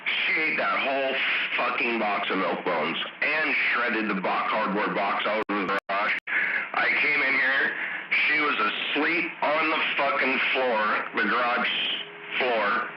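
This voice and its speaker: American man on noisy, echoing CB radio. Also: mid-range voice. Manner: profane, slangy storytelling. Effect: CB radio transmission. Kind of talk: casual CB radio chat. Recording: dull, very noisy, echoey CB radio.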